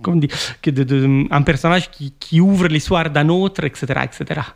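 A man with an Italian accent talks about his writing, pausing and restarting as he thinks. His voice sits fairly high for a man. He sounds reflective and unhurried. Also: vocal fry. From Italy. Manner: thoughtful, hesitant, with frequent restarts and fillers. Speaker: author being interviewed. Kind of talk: reflective conversational answers.

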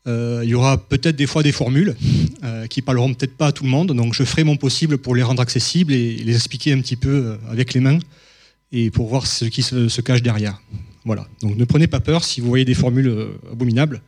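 A man's voice: mid-range voice, quick pace, darkish tone. A man from southern France giving a public mathematics lecture, hesitant, with many fillers and restarts.

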